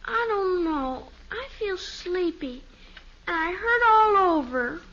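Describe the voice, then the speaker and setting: A female performer playing a sleepy, ailing little girl in an old-time radio family drama, plaintive and drawn-out. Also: extremely high pitch, lively intonation, pushed and bright, heavily acted. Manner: plaintive, drowsy, drawn-out delivery. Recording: dull, noisy old radio recording.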